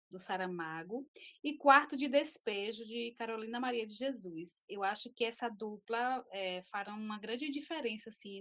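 A woman podcast guest giving a deliberate, thoughtful recommendation. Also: a little high, a bit bright. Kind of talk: casual chat.

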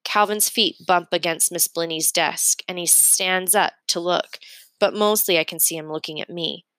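A woman's voice: on the low side, steady tone, normal speed, fairly bright tone, dry recording. A woman narrating a children's novel aloud in a storytelling read-aloud style. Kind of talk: expressive audiobook-style reading of a children's novel.

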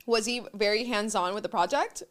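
A woman's voice: mid-range voice, a little fast. A Cuban woman hosting an interview in a chatty way, dropping Spanish phrases into English. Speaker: podcast host. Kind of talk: casual interview chat.

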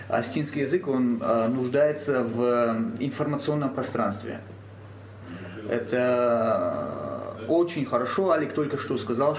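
Brief: male theatre actor, mid-range voice, earnest, deliberate, roomy sound. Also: mid-range voice. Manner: earnest, measured, with hesitations. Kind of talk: panel discussion. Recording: echoey room, faint background hum.

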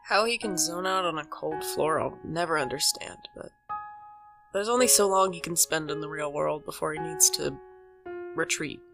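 An actor playing a wry, fond young friend who narrates life in a shared household for an audio drama. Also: female voice, low-pitched, normal speed, gravelly, over music. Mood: wry, reflective. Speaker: narrator and lead character.

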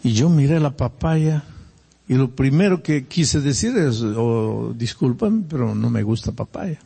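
An older man from Peru with a Peruvian Spanish accent, preaching a sermon and telling personal stories, animated and swinging between loud emphasis and quiet asides. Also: mid-range voice, measured pace, vocal fry, slightly rough, in a large hall.

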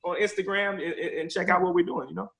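A man's voice: high-pitched, fairly even intonation, fast, creaky, crowd noise. A man from Chicago, a real estate investor and guest on a podcast interview, talking energetically and repeating words as he thinks.

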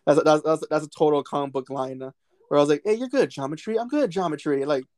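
A man co-hosting a podcast, talking excitedly about a film in a rambling way, with many restarts and fillers. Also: on the high side, fast talker, no room sound, studio quality. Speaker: podcast co-host. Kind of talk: casual movie discussion.

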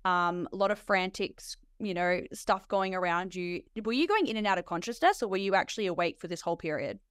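An Australian woman, a nurse hosting a podcast interview, talking in an animated way with frequent laughs. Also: on the low side, a little fast, clear, resonant tone, no echo, studio quality. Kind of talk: conversational interview.

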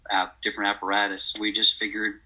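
Low, flat, sharp-edged raspy man. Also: fast talker, muffled recording. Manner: matter-of-fact product pitch. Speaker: company co-founder, guest. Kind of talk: casual podcast interview.

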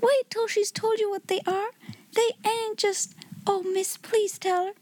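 Woman voicing an awestruck, high-pitched little servant girl. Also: steady tone, unhurried, a little mellow, no room sound. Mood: excited, pleading. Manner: breathless, gasping, uneducated speech with dropped grammar. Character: awestruck, excitable young servant girl, humble and eager.

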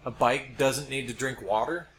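A noisy amateur podcast recording of a middle-aged American man. He talks casually as a co-host, spinning out hypothetical ideas at a mid-range pitch. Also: steady tone, moderate pace, fairly bright tone. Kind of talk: casual speculative chat. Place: home studio. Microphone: podcast microphone.

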